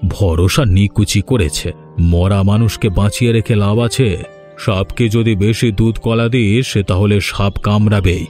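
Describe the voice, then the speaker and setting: A man's voice: on the low side, steady tone, unhurried, a little mellow, soft background music, lightly acted. A man playing a scheming, domineering older village landlord in a cartoon, with a commanding, condescending manner. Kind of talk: Bengali cartoon voice acting.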